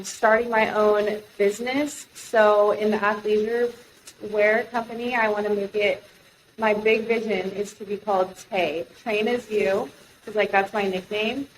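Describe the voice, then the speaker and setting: A woman's voice: fairly high pitch, a little hiss or hum, low-quality recording. A woman in her twenties co-hosting a podcast, chatting in a casual, upbeat way. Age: 20s. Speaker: podcast co-host.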